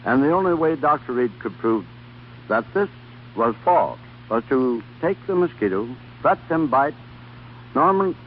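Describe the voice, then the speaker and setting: A man's voice: mid-range voice, lively intonation, a little slow. A man recounting his experience as a radio guest, sincere and solemn. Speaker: interview guest, former volunteer. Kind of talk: earnest recounting on 1930s radio. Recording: tinny archival radio recording with hum.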